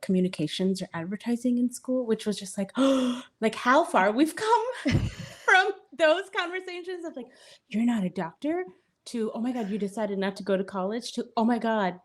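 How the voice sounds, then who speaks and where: A woman co-hosting a podcast in casual chat, speaking in an animated, emphatic way, often laughing and breaking off mid-thought. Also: mid-range voice, normal speed. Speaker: podcast co-host.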